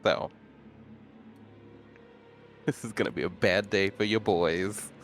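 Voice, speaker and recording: A man's voice: fairly low pitch, steady tone, slow pace, faint music behind. A man chatting casually as a player in a tabletop role-playing game. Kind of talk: casual chat.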